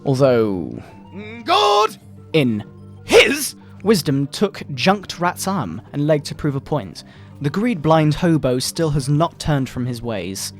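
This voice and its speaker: Man putting on a pompous, high-pitched fire-and-brimstone moral crusader. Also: wildly swooping pitch, faint music behind, full character voice. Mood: scornful, righteous. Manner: pompous, melodramatic preaching with drawn-out words. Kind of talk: mock-dramatic satirical reading.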